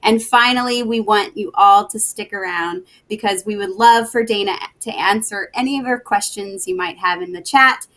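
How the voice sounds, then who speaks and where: A woman's voice: medium pitch, normal speed, hardly any pauses, fairly bright tone, poor audio. A woman hosting a live webcast over a video call, interviewing her guest in an upbeat, enthusiastic way. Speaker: host, NASA education specialist.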